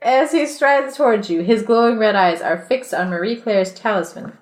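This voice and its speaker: A woman's voice: a little high, normal speed. Give me an irreverent, giggly woman reading aloud. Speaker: podcast co-host reading a story aloud. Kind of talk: casual comedic read-aloud with banter.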